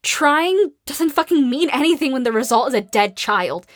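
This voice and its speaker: An actress playing a hardened, grieving and self-blaming survivor in a post-apocalyptic audio drama, raw and emotional. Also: female voice, high-pitched, lively intonation, moderate pace, no room sound, studio quality. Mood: anguished, bitter. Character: grief-stricken, hardened female survivor, self-blaming and guarded.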